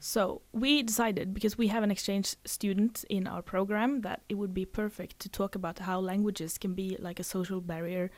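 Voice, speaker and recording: A woman's voice: medium pitch, steady tone, moderate pace, few pauses, no echo. Casting: young female student radio host, casual interviewer, close dry studio sound. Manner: chatty, filler-heavy questions.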